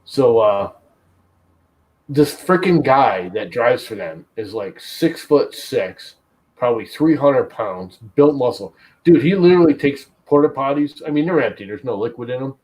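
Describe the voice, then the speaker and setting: A man's voice: mid-range voice, expressive, a little slow, a little mellow, rough recording. A man streaming and chatting casually while preparing a 3D print, rambling and swearing as he tells stories.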